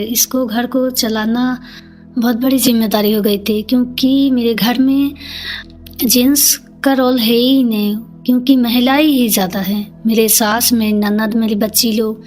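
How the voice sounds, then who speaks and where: Higher-pitched woman telling her story. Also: a little mellow. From Jharkhand, India. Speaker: interview guest, community leader. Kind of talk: personal storytelling in an interview.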